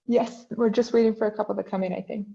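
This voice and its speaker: Gravelly, mellow-voiced female panel chair. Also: medium pitch, steady tone, fast talker. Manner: hesitant, polite. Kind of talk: moderating online Q&A. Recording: muffled online call audio.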